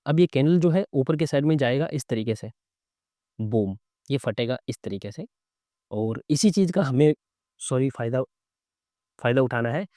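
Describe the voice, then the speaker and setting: A man with a soft, mellow voice, recorded close and dry. His throat is a little sore. He teaches chart reading as a casual live commentary, talking conversationally and often tacking on little questions to check that listeners follow. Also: mid-range voice, expressive, moderate pace, long pauses, dry recording. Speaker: trading instructor and live-trade host.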